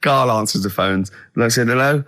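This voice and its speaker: A man hosting a radio show with casual comic banter, animated and quick to act out dialogue. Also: mid-range voice. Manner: animated, breaks into laughter, acts out dialogue. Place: radio studio.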